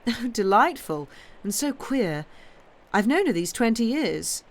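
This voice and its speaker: A woman's voice: on the high side, sing-song, a little slow, pitched above the performer's own voice. A woman voicing a haughty, gossipy Victorian countess in an audiobook, with drawling, gushing society chatter. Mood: gushing, snobbish. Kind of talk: audiobook character voice.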